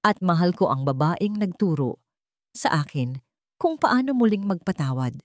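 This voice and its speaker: A woman voicing an earnest, tender young man in love, speaking gently in a storybook romance. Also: on the low side, very animated, normal speed, dry recording, studio quality.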